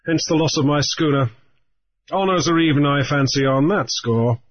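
A man's voice: fairly high pitch, dull audio, pitched above the performer's own voice, natural voice. A man doing a grave, dry-witted captain. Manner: grave, measured. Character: calm, grave male sea captain, dry wit.

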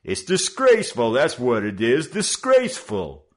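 A man's voice: medium pitch, huge pitch swings, unhurried, pitched above the performer's own voice. A man voicing a young male hoodlum gang leader who bullies and mocks in slangy tough talk. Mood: mocking. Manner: mock-judicial, bullying, slangy tough talk.

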